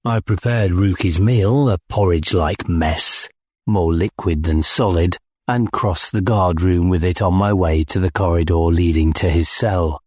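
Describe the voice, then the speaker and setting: A man's voice: sits low, measured pace, darkish tone, breathy edge, dry recording, studio quality. A man reading scripted first-person story narration as an audiobook narrator.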